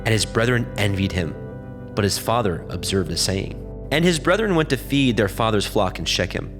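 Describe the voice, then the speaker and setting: Male narrator, lowish pitch, solemn, measured scripture reading, faint guitar backing. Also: animated.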